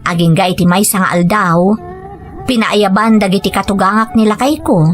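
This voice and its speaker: A woman's voice: mid-range voice, moderate pace, faint music behind, lightly acted. A woman playing a patient, caring young wife and mother with a Filipino Ilocano accent, in radio drama dialogue and narration. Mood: reflective.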